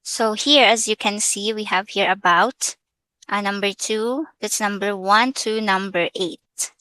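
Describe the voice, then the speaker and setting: A woman's voice: medium pitch, a little slow, bright, forceful tone, clean tone, no room sound, rough recording. A woman from the Philippines with a Filipino accent, speaking English as a second language after Tagalog, walking viewers through a sign-up in a hesitant, casual way and slipping into Tagalog now and then. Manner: hesitant, mixing English with Tagalog, frequent fillers. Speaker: vlogger. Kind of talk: casual screen-share tutorial.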